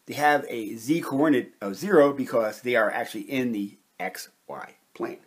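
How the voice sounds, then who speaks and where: A man's voice: medium pitch, normal speed, breathy edge. A man narrating a methodical, step-by-step whiteboard lesson as a science lecturer, explaining each point in turn. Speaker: chemistry lecturer.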